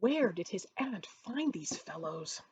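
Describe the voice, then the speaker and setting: A woman author reading her own novel aloud in an expressive storytelling narration. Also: mid-range voice, takes it slowly, slightly rough.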